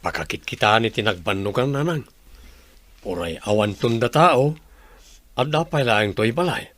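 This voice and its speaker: A man's voice: mid-range voice, lively intonation, moderate pace, fairly bright tone, no echo, close to an everyday voice. A man acting a dutiful, earnest village father in an Ilocano radio drama, with an Ilocano Filipino accent. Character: dutiful, house-proud village father, devoted to his child.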